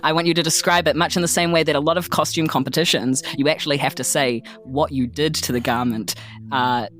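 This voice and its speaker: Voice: mid-range voice, steady tone, brisk, a bit bright. A tabletop game player with a New Zealand accent, improvising an insistent, probing interrogation in character.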